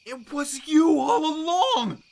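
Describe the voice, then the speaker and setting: A man's voice: very high voice, very animated, slow pace, vocal fry, heavily acted, low-quality recording. An actor playing a stubborn, frightened male scholar in a radio drama, agitated and incredulous. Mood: horrified, frantic. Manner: agitated, exclamatory.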